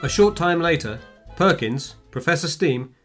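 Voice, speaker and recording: A man's voice: on the high side, animated, unhurried, fairly bright tone. A man reading scripted dramatic narration for a radio play. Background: music.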